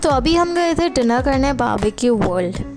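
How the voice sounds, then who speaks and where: High-voiced young woman vlogger. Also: animated, moderate pace. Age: young adult. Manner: chatty, addresses viewers with questions. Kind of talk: casual travel vlog narration. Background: background music.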